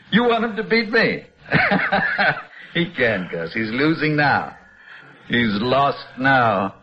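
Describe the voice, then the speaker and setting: An actor playing a sadistic, pompous chess-obsessed villain in an old-time radio drama, with mocking, precise, theatrical diction. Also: male voice, medium pitch, big pitch swings, very slow, pauses often. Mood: gleeful, triumphant. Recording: muffled old radio recording with music.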